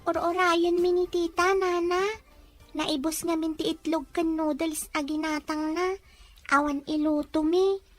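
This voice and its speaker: Very high-pitched, plaintive little child. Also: female voice, little pitch movement, measured pace, faint music behind. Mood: hungry, hopeful. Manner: plaintive, complaining. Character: young hungry child, plaintive and outspoken orphan. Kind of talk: Ilocano audio drama acting.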